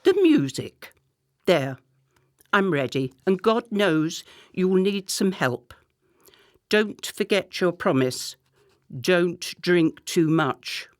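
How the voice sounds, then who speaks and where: A woman's voice: on the low side, sing-song, dry recording, clean studio sound. A woman playing a bossy politician's wife in her thirties in a radio play, with an imperious, cutting manner. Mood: brisk, bossy. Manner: imperious, withering, deliberate.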